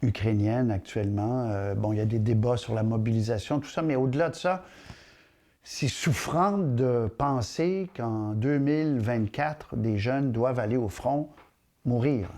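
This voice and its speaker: A man's voice: medium pitch, measured pace, warm tone. A man interviewing a guest for a news broadcast, probing and rephrasing his questions as he goes. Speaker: interviewer, host.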